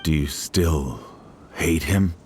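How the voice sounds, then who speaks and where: An actor playing a gentle, remorseful middle-aged village man in a video game, speaking hesitantly. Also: extremely low pitch, slow, slight background noise. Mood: gentle, sympathetic. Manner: hesitant, apologetic. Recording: faint music behind the voice.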